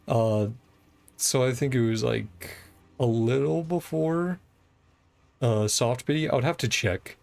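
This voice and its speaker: A game streamer chatting casually to viewers in a halting, informal way. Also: medium pitch, creaky, a little mellow. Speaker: streamer playing a game and reading dialogue aloud. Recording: background music.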